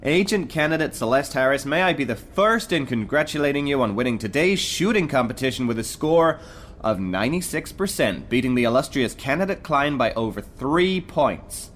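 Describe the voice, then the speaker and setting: A man plays a brash firing-range instructor who barks commands and needles his recruits with sarcasm. His voice sits in a mid male range, gruff and drill-sergeant sharp, over a faint background hiss in this audio drama. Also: mid-range voice, expressive, moderate pace, close to an everyday voice. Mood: mocking. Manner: barking, mocking, drill-sergeant style.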